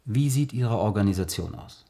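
A male actor playing a persistent, calmly authoritative biographer and interrogator in a radio play. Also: fairly low pitch, normal speed. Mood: insistent. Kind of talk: German science-fiction radio play acting.

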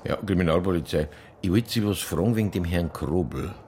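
An actor playing a casual, persistent police detective in a radio drama, asking matter-of-fact questions with a Bavarian accent. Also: male voice, sits low, fairly quick, darkish tone, slight background noise, close to an everyday voice. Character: low-key male police detective, persistent and dryly casual. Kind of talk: naturalistic radio drama dialogue.

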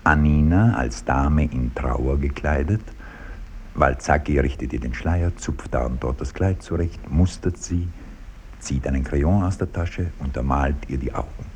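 A man's voice: a bass voice, unhurried, darkish tone. A man narrating scripted stage directions for a radio drama. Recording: 1960s radio production, faint noise.

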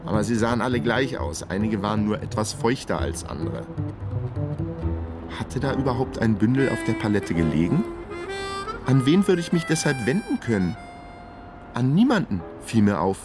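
A man's voice: lowish voice, sing-song, moderate pace, long pauses. An actor playing a weary, chaotic investigator who narrates his own radio crime story with dry, wry understatement. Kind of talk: radio crime drama first-person narration. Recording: radio production with prominent music bed. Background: music.

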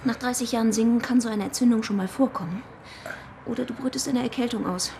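An actress playing a caring, practical deaconess with a matter-of-fact, intimate delivery in a radio drama. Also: female voice, fairly high pitch, quick pace, gravelly, background noise. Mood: examining, concerned. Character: caring, practical deaconess, affectionate but proper. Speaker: actor in a radio play. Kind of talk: German radio crime drama dialogue.

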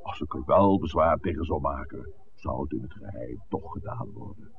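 An actor playing a shrewd, dignified village clergyman in a 1960s radio drama, speaking in measured, formal, lecturing phrases. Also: extremely low pitch, animated. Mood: measured, guarded. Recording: noisy, narrow-band old radio recording.

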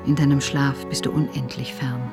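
A woman's voice: a bass voice, little pitch movement. An actress playing a tender, wistful woman in a lyrical, intimate radio drama monologue. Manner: slow, lyrical, intimate delivery. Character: tender, wistful woman addressing a sleeping lover. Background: music.

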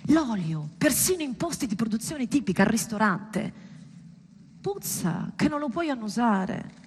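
Female stage performer, higher register, ironic dramatic monologue delivered live in an echoing hall. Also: big pitch swings, unhurried. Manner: dramatic, ironic, direct address with rhetorical questions. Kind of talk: theatrical monologue addressed to a live audience. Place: indoor performance space, live. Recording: reverberant hall with background noise.

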